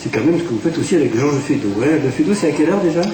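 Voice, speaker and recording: A man from France hosting a radio interview, talking in a relaxed, chatty way. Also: on the high side, rapid-fire. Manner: conversational, chatty, trailing tags. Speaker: radio host. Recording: distant, echoey room with faint hiss.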